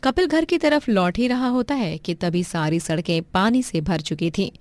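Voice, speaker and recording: A woman narrates a scripted moral tale. Her pitch is medium and the recording is very dry. She delivers it as a storyteller. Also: lively intonation, moderate pace, darkish tone, no room sound.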